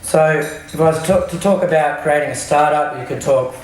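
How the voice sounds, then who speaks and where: A middle-aged man, hoarse from travel, giving a halting business talk into a microphone with many ums and restarts. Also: a little high, a little hiss or hum. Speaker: startup CEO giving a talk. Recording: very echoey room, faint clatter of dishes.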